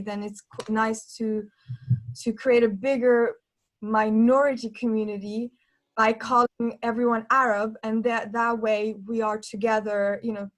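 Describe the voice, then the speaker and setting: A woman from Norway taking part in a panel discussion, talking in an earnest, reflective way and pausing to restart her thoughts as she goes. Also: mid-range voice, poor audio. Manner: earnest, thinking aloud with pauses and restarts.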